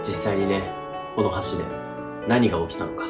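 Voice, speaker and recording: A man's voice: sits low, moderate pace, loud music behind the voice. A man hosting a haunted-spot exploration, chatting casually as he presents.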